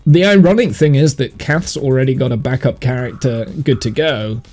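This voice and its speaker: A man chatting casually on a gaming livestream, thinking aloud in a rambling way, with a slightly croaky, sniffly voice. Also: medium pitch, moderate pace, darkish tone, faint music behind. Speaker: live streamer and game master. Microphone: streaming mic monitored through headphones.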